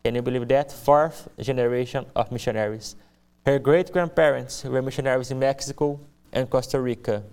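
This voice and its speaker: A young man with a Brazilian accent, a native Portuguese speaker, speaking as a missionary giving his testimony to a congregation in a deliberate way, repeating phrases for emphasis. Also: mid-range voice, a little mellow, smooth. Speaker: missionary giving a ministry presentation. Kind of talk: church testimony speech to a congregation.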